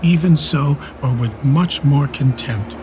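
An actor playing a stern, proud elderly English duke in a Shakespeare radio play, declaiming archaic verse with a quick temper. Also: male voice, mid-range voice, background noise, lightly acted. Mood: grave, sorrowful. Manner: declamatory, measured verse delivery.

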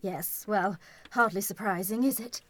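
An actress playing a prim, snippy nurse in an audio sitcom, with a cutting manner. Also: female voice, mid-range voice, unhurried, fairly bright tone, a little hiss or hum, close to an everyday voice.

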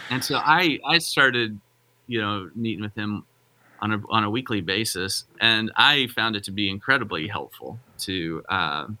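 A man's voice: on the low side, expressive, moderate pace, bright, forceful tone. A middle-aged man, a pastor, talking casually and rambling with many restarts. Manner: rambling, self-interrupting, frequent restarts. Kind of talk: casual podcast conversation.

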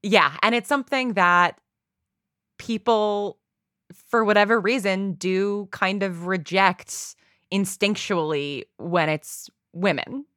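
A woman's voice: mid-range voice, slow pace, a bit bright, no echo, clean studio sound. A woman talking casually as a podcast guest and writer, thinking aloud and repeating words as she goes. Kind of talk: casual conversational interview.

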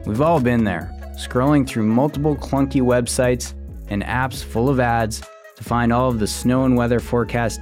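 A man reading scripted sponsor ads in an even, promotional delivery. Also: medium pitch. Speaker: sponsor ad reader. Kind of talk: scripted ad read. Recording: ad read over soft guitar music.

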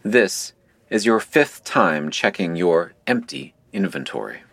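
A man playing a mysterious, impassive game operator who narrates a surreal audio drama in a formal, deadpan manner. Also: deep voice, takes it slowly, creaky, dry recording, exaggerated voice.

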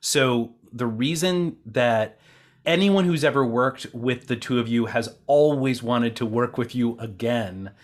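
Male podcast host, conversational and sincere, appreciative tone, medium pitch. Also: a little slow. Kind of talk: casual round-table conversation.

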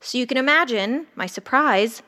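A woman playing a detached, morbidly curious ER doctor, narrating a horror audio drama in a measured, wry, clinical way. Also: high-pitched, lively intonation, sharp tone. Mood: wry, uneasy.

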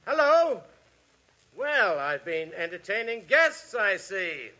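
Villette, a silky mocking blackmailer. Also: male voice, high-pitched, sing-song, slow, bright, forceful tone, soft background music, full character voice, poor audio. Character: oily scheming male blackmailer, middle-aged. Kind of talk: 1950s radio drama acting. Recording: old radio broadcast, faint hiss.

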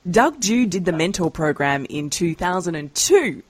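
A woman hosting a trading podcast, giving clear scripted intros. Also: fairly low pitch, very animated, normal speed. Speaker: podcast host.

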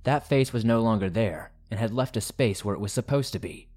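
Low-pitched man narrating horror stories over music. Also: brisk, darkish tone. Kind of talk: scripted first-person horror story narration. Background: background music.

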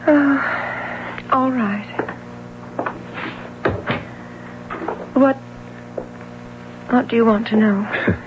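A woman's voice: on the high side, extremely slow. An actress playing a reserved, insecure young woman, courteous but guarded in a radio drama. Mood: wary, startled. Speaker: actor. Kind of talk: American radio drama acting. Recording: muffled, hissy vintage radio broadcast.

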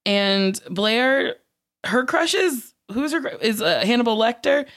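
A woman's voice: a little high, lively intonation, measured pace, fairly bright tone. A young woman talks in a loose, joking way as a guest on a podcast. Her voice is a bit scratchy today, and she drops the odd swear word. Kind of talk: casual chat.

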